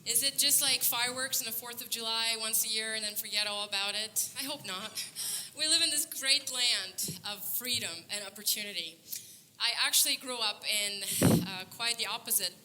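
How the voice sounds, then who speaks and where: A young woman from Bulgaria speaks on a conference stage, earnest and upbeat, often breaking into laughter. Her voice is very bright and sits a little high. She talks with plenty of fillers and restarts, and a faint hiss lies under the microphone sound. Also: normal speed, poor audio. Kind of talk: stage talk. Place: conference stage before an audience.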